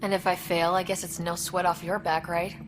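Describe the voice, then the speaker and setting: Woman acting low-voiced young explorer over music. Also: level tone, fairly quick, natural voice, low-quality recording. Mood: defiant. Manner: direct, challenging, dry. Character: bold, sardonic young female space explorer. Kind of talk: video game cutscene acting.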